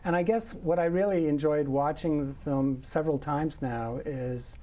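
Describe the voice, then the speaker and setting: Male host, mellow tone, easy conversational questions, studio chat. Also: a little high, moderate pace. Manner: warm, conversational questions with hesitations. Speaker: festival director and interview host. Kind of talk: studio interview.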